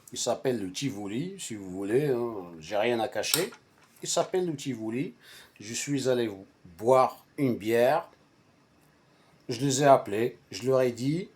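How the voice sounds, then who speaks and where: A man whose first language is Arabic, telling a personal story casually in a halting, repetitive and emphatic way. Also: mid-range voice, a little mellow. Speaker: storyteller recounting a personal anecdote to friends.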